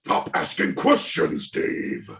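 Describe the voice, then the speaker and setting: A man in a play table read voicing a menacing, mysterious phone caller with a slow, ominous, drawn-out delivery and a breathy growl. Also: a bit bright. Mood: taunting threat.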